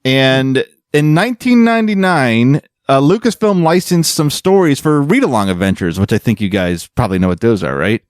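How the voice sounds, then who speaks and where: A man with a very animated delivery hosts a casual podcast. His voice sits at an average pitch and is recorded close and dry, and he talks informally, as if among friends. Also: moderate pace, few pauses, no echo. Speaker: podcast host. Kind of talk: casual podcast banter and explaining.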